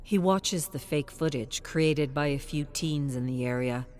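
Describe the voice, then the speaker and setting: A woman's voice: sits low, measured pace, a little mellow, soft background music. A woman reading scripted story narration as a narrator.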